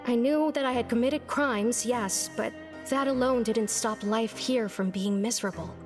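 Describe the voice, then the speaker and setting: A woman's voice: on the high side, moderate pace, faint music behind, lightly acted. A woman voicing an earnest, friendly reformed prisoner in a video game, speaking sincerely. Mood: grateful, sincere.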